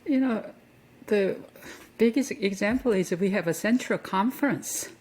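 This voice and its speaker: A woman's voice: mid-range voice, a little slow, darkish tone, low-quality recording. A woman theologian answering on a panel, speaking casually and leaning on "you know". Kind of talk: discussion answer.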